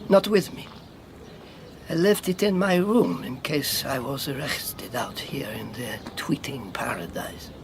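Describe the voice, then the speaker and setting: An actor in a radio drama playing a sardonic, battle-worn European refugee with a lame leg, speaking haltingly with mocking formality. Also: male voice, medium pitch, vocal fry, a crowd in the background. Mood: confiding, wry.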